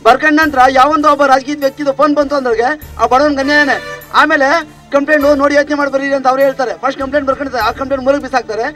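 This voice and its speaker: A man's voice: extremely high pitch, steady tone, rapid-fire, bright, forceful tone, faint music behind. A man voicing a complaint in a street interview, insistent and repetitive. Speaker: member of public interviewed.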